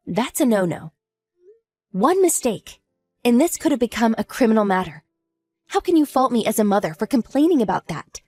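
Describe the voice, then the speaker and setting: A woman playing a cold, scheming wife who mocks her husband's lover in a slow, sneering way. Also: a little high, sing-song, dark tone, husky, faint music behind. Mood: mocking. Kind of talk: audio drama acting.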